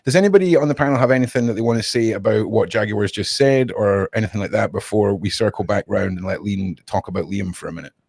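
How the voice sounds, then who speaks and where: A man's voice: lowish voice, fast, gravelly, hardly any pauses, a little mellow, slightly rough, no room sound. A man casually hosting a streamed panel, loosely repeating himself as he steers the talk. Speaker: host.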